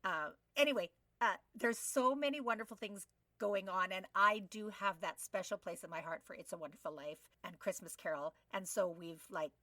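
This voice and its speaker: A woman's voice: mid-range voice, moderate pace, pushed and bright, no echo, recorded from a distance. A middle-aged woman co-hosting a podcast in an effusive, casual, chatty way. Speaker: podcast co-host.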